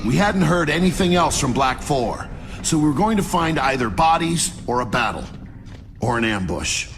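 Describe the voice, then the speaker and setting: Animated, earnest male lieutenant. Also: fairly high pitch, normal speed, background noise. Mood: dry recounting. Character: earnest, dry young male lieutenant recounting battle. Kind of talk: video game narration and dialogue.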